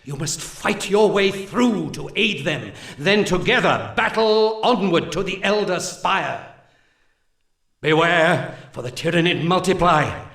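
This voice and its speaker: An actor playing a solemn, zealous alien elf war leader in a video game, delivering mission briefings in grandiose, archaic oratory. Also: male voice, sits high, takes it slowly, vocal fry, fairly bright tone, echoey room, full character voice. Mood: grave, urgent.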